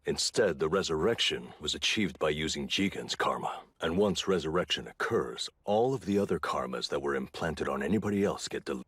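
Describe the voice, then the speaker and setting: An actor playing a calm, analytical ninja strategist in an anime dub, explaining in a measured way. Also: male voice, extremely low pitch, husky, no echo, clean studio sound, full character voice. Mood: firm. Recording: music behind.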